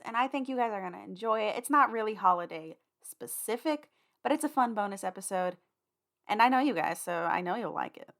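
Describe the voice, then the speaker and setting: A woman hosting a podcast, chatting informally and familiarly to listeners as she rambles through announcements. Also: mid-range voice. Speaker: podcast host giving announcements.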